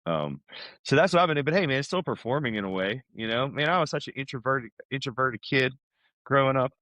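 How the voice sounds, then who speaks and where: A man's voice: mid-range voice, lively intonation, fast talker, pushed and bright, no room sound. A man speaking as a podcast guest in a casual, chatty, informal conversational style, often dropping in "man" and "you know".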